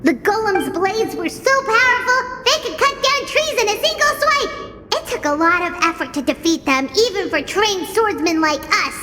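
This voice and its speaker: Squeaky little adventurer companion. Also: female voice, normal speed, background noise. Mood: confident. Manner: blunt, animated. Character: small, high-voiced, blunt, flustered adventurer companion. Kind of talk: video game cartoonish voice acting. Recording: reverberant, loud music behind.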